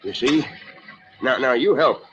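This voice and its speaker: A man's voice: medium pitch, a little slow, fairly bright tone, soft background music, natural voice. An actor playing a surly, evasive American farmer and father in an old-time radio drama, muttering terse fragments and barking orders. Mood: urgent, coaxing. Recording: muffled, noisy old radio recording.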